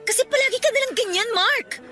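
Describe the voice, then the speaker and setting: A woman acting a high, bright, fed-up young Filipina girlfriend. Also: brisk, soft background music. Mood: accusing, bitter. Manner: nagging, accusing, emphatic. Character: fed-up young woman confronting her unfaithful boyfriend. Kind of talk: radio drama acting.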